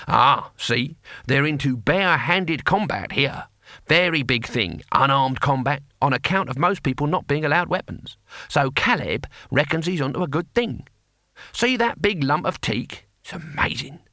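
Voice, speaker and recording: A man's voice: a little high, expressive, normal speed, bright, forceful tone, no room sound, studio quality, voice pushed up. A man voicing an elderly, proud and defiant barbarian hero in an audiobook story, with blunt, boastful, colloquial speech.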